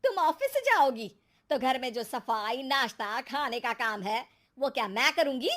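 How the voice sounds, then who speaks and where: Woman as sharp, bright-voiced nagging mother-in-law. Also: high-pitched, sing-song, unhurried, full character voice. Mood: angry, sarcastic. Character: bossy, sarcastic middle-aged mother-in-law, easily angered. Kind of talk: cartoon story acting.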